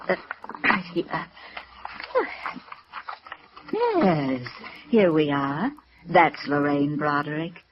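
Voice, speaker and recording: An actress playing a kindly, slightly flustered high school nun in 1950s radio drama, gentle, hesitant and wistful. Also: female voice, very animated, drawn-out words, long pauses, heavily acted. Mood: wistful, fond. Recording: muffled vintage radio recording.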